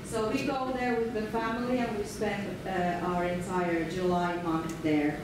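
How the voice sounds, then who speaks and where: A middle-aged woman from Finland with a Finnish accent, giving a relaxed, chatty conference lecture with frequent fillers. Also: mid-range voice, unhurried. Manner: relaxed, chatty, laughs often, many fillers. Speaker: guest lecturer. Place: large lecture hall. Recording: echoey hall, far from the mic, room noise.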